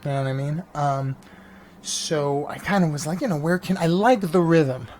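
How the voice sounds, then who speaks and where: A man's voice: fairly high pitch, normal speed, darkish tone. A man from New York, a stand-up comedian hosting his own vlog podcast, rambling casually with lots of restarts and fillers. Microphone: janky mic. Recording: faint engine noise behind. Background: engine noise.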